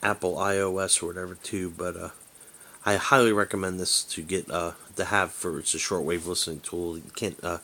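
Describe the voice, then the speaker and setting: Male hobbyist reviewer, low-pitched voice, off-the-cuff and rambling delivery with hesitant phrasing. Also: flat delivery, a little fast, pushed and bright. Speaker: amateur radio hobbyist presenting an app review. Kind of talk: casual unscripted demo.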